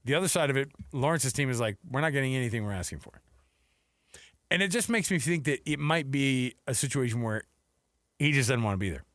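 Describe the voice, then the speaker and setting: A man's voice: medium pitch, lively intonation, fairly quick, fairly bright tone, no echo. An American man co-hosting a sports radio show, chatting casually and jokily through the news and acting out imagined quotes. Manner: jokey, conversational, acts out imagined quotes. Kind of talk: casual sports talk banter with news rundown.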